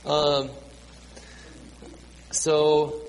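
A man in his late thirties preaching a sermon to a congregation with children, halting and repeating words, with many ums. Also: a little high, monotone. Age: 30s. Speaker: pastor. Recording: reverberant hall, faint ambient noise.

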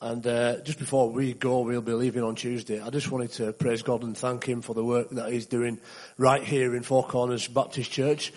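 West Yorkshire man, flat delivery, earnest and polite church testimony. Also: medium pitch, moderate pace, hardly any pauses. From England. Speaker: visiting churchgoer. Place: church service.

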